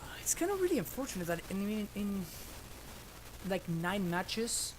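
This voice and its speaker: A man's voice: high voice, very animated, moderate pace, a little hiss or hum, rough recording. A man in his thirties from Argentina, streaming and commenting casually on his card game as he plays, thinking aloud with many fillers, on a headset in a small room.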